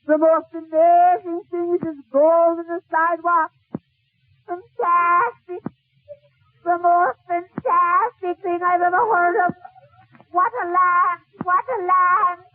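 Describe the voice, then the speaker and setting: A man voicing an excitable, awestruck Irish immigrant in a 1940s radio comedy story, exclaiming in drawn-out, repetitive amazement. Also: extremely high pitch, faint music behind, pitched above the performer's own voice. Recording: old 1940s radio recording, narrow band.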